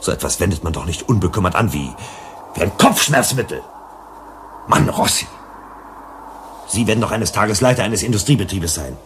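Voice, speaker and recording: A principled male psychologist in a sci-fi radio play. His lowish voice swings expressively as he argues and lectures with emphasis. There is faint noise behind him. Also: expressive, normal speed, pauses often, a bit bright. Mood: firm. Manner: emphatic, didactic. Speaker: radio drama actor.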